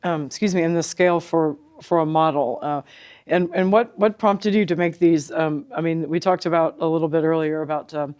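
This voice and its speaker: An interviewer asking informal questions on location in a tentative, halting way, searching for words. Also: medium pitch, steady tone, a little mellow. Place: exhibit room at an audio trade show.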